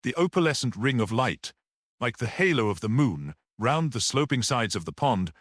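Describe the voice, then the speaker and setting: A man's voice: mid-range voice, sing-song, moderate pace, no echo, studio quality. A man narrating a mystery story as a scripted, dramatic audiobook reading.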